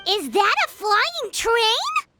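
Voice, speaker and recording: A woman playing a small, chirpy, outspoken fairy companion in an anime game, with a squeaky childlike voice. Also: huge pitch swings, drawn-out words, over loud music, full character voice. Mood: doubtful. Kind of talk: anime game dub dialogue.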